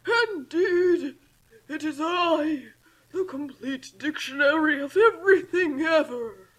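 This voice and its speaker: A woman voicing a gloating, villainous giant talking dictionary in a pompous, theatrical, drawn-out way, in a comic story reading. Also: high voice, voice pushed up, rough recording. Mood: gloating, boastful. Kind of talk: comic fan fiction read aloud.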